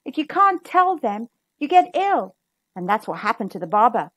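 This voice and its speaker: Female storybook narrator: expressive, emphatic, very lively intonation, dry close recording. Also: fairly high pitch, moderate pace, no echo. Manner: dramatic, emphatic. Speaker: storybook reader. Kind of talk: expressive children's read-aloud narration.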